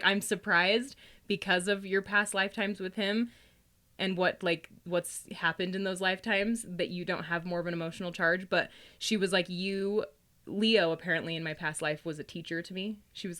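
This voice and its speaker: A woman talks as a guest in a relaxed podcast conversation, her voice slightly low yet bright and forward. She tells stories in a colloquial, giggly way with plenty of "like" thrown in. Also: normal speed. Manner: giggly, laughs often while talking; colloquial, heavy use of "like". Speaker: podcast guest, psychic reader. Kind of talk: casual podcast chat, animated storytelling.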